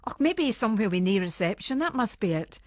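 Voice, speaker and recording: A woman's voice: mid-range voice, big pitch swings, fast talker, a little mellow, no room sound, natural voice. A woman playing an anxious Scottish wife in a radio monologue, talking in colloquial Scots as if to a friend. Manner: colloquial, conversational, addressing an unseen friend. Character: anxious, chatty Scottish wife fretting over missing husband. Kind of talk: dramatic monologue in Scots dialect, radio story reading.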